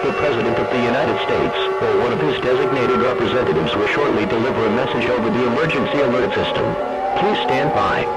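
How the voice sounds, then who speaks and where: Male emergency broadcast announcer, medium pitch, formal scripted read, quick delivery. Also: over loud music, low-quality recording. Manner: official, impersonal.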